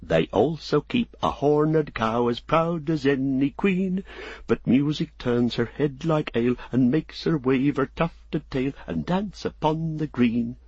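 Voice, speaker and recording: Storybook hobbit, young male, played by a man: courteous, declamatory, lifted pitch, dry sound. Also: takes it slowly, a little mellow, breathy edge, no echo, highs cut off. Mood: merry, lilting. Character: polite young male hobbit, performing for a crowd. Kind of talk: audiobook character acting.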